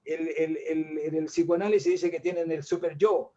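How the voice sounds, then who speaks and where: A man's voice: fairly high pitch, fairly even intonation, normal speed, dark tone, highs cut off. An older Chilean man talking in a reflective, rambling way, with many restarts and fillers. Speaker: guest artist. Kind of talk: casual panel discussion.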